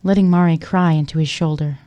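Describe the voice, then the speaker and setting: A woman's voice: low voice, steady tone, brisk, warm tone, dull audio. A woman giving scripted narration for an audio drama.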